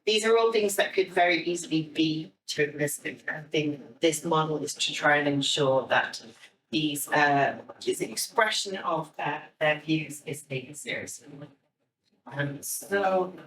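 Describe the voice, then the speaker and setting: A woman's voice: low voice, unhurried, fairly bright tone. An Irish woman giving a live, explanatory presentation to an audience, speaking a little hesitantly. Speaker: theatre director giving a talk. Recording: echoey room, distant microphone.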